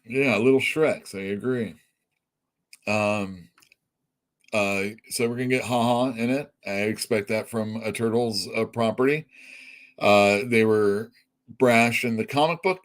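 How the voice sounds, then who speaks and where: A man's voice: on the low side, a little slow. A middle-aged man hosting a comic shop show, chatting casually and bluntly, rambling, swearing and laughing at his own jokes. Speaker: comic shop podcast host. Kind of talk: casual opinionated chat.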